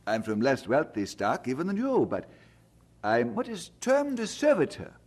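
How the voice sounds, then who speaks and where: An actor playing a polite, modest young English student in an audio drama, explaining himself courteously. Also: male voice, fairly high pitch, huge pitch swings, normal speed, darkish tone. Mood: polite, explanatory. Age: young adult.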